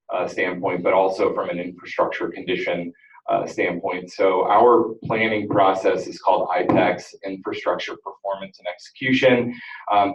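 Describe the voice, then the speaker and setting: A man giving a meeting presentation with a loud voice, loose and rambling with many fillers and restarts. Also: deep voice. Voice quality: self-described very loud voice. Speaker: energy audit presenter. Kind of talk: presentation at a school board meeting. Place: school district board meeting room. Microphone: room microphone at some distance. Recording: echoey room, distant dull audio.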